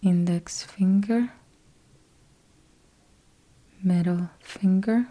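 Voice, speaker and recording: A woman leads a guided meditation in a mellow, breathy voice with a husky edge. She speaks very slowly and gently, in calm, measured phrases with long pauses between them. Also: medium pitch, rough recording. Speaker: yoga nidra meditation guide. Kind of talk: guided meditation, slow instructional.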